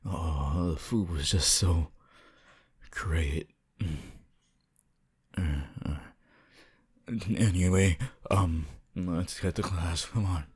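Man voicing a deep, slow, awkward young wolf. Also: steady tone, slightly rough, full character voice. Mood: embarrassed, apologetic. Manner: hesitant, stammering, soft-spoken. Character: shy, awkward young male anthropomorphic wolf student, gentle and apologetic. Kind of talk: ASMR audio roleplay.

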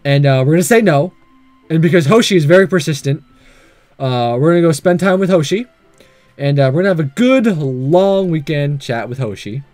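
Goofy guy doing a lets play, highish voice, super up and down, jokey n theatrical, bit of music. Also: animated, normal speed, darkish tone, dry recording. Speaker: gaming video host reading and reacting. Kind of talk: casual let's-play commentary.

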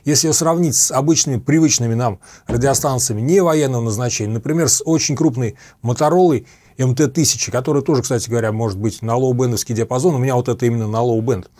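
A middle-aged man with a slightly husky voice, methodically explaining equipment in a review to camera. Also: mid-range voice, fast, a little mellow. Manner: methodical, explanatory, repeats points for clarity. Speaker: host of a radio equipment review channel. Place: small dim home office with computer monitors.

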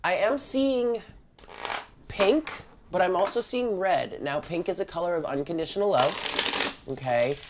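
A tarot reader speaks in a medium-pitched voice that sounds stuffed up with congestion. The delivery is informal and chatty, addressed straight to the viewers as a spiritual card reading is given at a table indoors. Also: unhurried, long pauses, very noisy.

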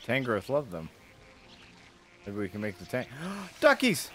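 A man's voice: medium pitch, wildly swooping pitch, measured pace, sharp tone. A man in his thirties chatting casually and crudely as he plays a game on a stream, joking and swearing. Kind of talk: casual gameplay banter. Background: game music.

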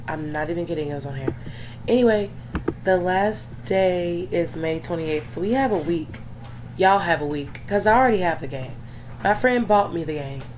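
A young woman with a voice worn hoarse from sickness, sitting lower in pitch than most. She rambles casually, full of fillers and side remarks. A faint hum of background noise sits under her home recording. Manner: chatty and rambling, many fillers and asides. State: hoarse from illness. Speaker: gaming YouTuber. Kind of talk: casual screen-recorded vlog chat.

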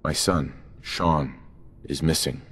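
A man playing a grave, anxious father with halting delivery in a video game, recorded with long echo. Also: a bass voice, a bit bright, slightly rough, heavily acted. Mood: grave, shaken. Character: grave, anxious father searching for kidnapped son. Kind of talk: dramatic video game acting.

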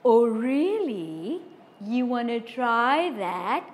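A woman's voice: on the high side, big pitch swings, extremely slow, slight background noise, exaggerated voice. A woman voicing a big, strong male goat who is confident and unafraid. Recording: long reverb.

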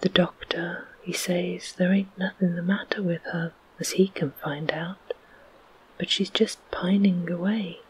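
A storyteller voicing a fretting working-class mother with plain, rustic speech, in a soft whisper. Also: medium pitch, steady tone, a little slow, breathy edge, highs cut off. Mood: complaining.

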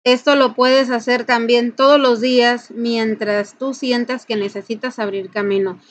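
A woman with a Mexican accent and a fairly high voice explains things step by step in an informal tutorial style. She addresses the listener directly and stresses her instructions. The recording is somewhat dull and lo-fi. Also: steady tone, a little slow. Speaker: folk ritual practitioner and channel host.

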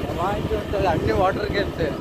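A man's voice: sits high, steady tone, fast. A young man chatting casually to camera as a vlogger, with very noisy ambience around him. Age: young adult. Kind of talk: casual vlog chat to camera. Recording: very noisy outdoor and cafe ambience.

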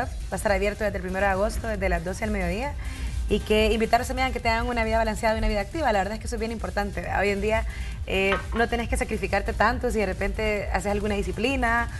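A woman, answering promotional interview questions as a brand representative in a TV studio. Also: medium pitch. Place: TV studio sofa set.

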